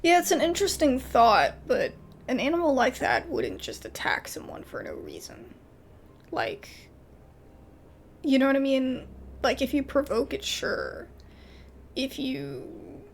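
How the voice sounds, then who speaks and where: An American woman chatting casually as she hosts a gaming video, giggly and thinking out loud. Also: high voice, gravelly, a little hiss or hum. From United States. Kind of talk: casual let's play chat and reading game text aloud.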